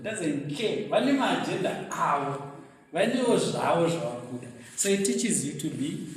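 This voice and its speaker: A man from Zimbabwe with a Zimbabwean accent, giving an animated, storytelling motivational talk at a luncheon and laughing at his own stories. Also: a little high. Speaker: guest speaker. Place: event venue hall. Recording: very echoey hall, mic set far off.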